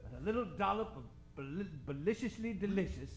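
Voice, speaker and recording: An actor playing a wholesome, gushing war veteran in a parody 1940s radio advert. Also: male voice, high voice, expressive, brisk. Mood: delighted. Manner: gushing, agreeable. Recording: roomy, faintly noisy stage recording.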